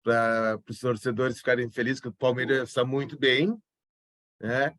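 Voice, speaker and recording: A man in his fifties from São Paulo with a slight gaúcho accent, a forensic expert guest recovering from a cold and talking in a relaxed, rambling way. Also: mid-range voice, normal speed, no echo, studio quality. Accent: slight southern Brazilian gaúcho. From São Paulo, Brazil. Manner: relaxed, rambling, with frequent restarts. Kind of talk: casual interview talk.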